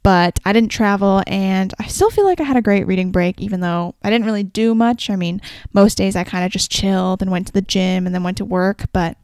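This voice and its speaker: A young woman hosting her own podcast, chatting casually and rambling a little, her voice slightly raspy from a sore throat. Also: mid-range voice, a little fast, darkish tone, no room sound. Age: young adult. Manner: chatty and rambling, frequent "like" and "kinda". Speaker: podcast host. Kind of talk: casual solo podcast chat.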